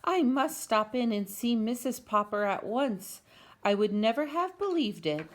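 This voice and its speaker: A woman's voice: fairly high pitch, very animated, a little slow, voice pushed up. A woman voicing an astonished, indignant housewife in a storybook, exclaiming and scolding. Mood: appalled. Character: indignant, astonished neighbor housewife, Missus Callahan.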